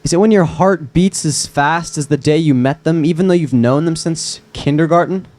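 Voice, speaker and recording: A man plays a lovesick young man in a drama table read. His voice sits a little high, and he is wry and earnest. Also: normal speed, a little mellow, close to an everyday voice. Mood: earnest, loving. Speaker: actor in a screenplay table read. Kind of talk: live table-read acting.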